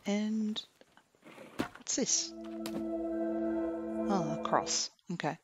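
A man's voice: high-pitched, extremely slow, music in the background. A man casually commentating a survival game, thinking aloud as he plays. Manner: relaxed, chatty, thinking aloud while playing. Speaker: gaming commentator. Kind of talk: casual let's play commentary.

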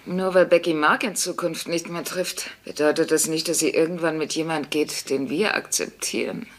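A woman's voice: low-pitched, fairly even intonation, normal speed. An actress playing a sardonic, bossy working-class mother in a dubbed sitcom, with dry wisecracking delivery. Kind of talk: German dubbed sitcom acting.

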